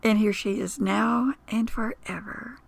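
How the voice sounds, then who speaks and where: Slow, very gravelly, husky woman narrator. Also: medium pitch, dry recording. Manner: slow, solemn, deliberate. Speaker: host and presenter. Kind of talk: scripted narration.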